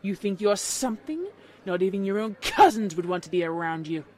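A woman's voice: mid-range voice, big pitch swings, brisk, over a noisy crowd, voice pushed down, close to an everyday voice. A woman voicing a cruel, snobbish upper-class female unicorn who sneers with haughty contempt in a cartoon-style story. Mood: mocking.

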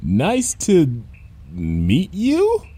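Man voicing a flustered, high-voiced mare schoolteacher. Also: huge pitch swings, warm tone, slightly rough, no echo, full character voice. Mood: wary. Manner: faltering, slow, wary. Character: flustered, hesitant female pony schoolteacher.

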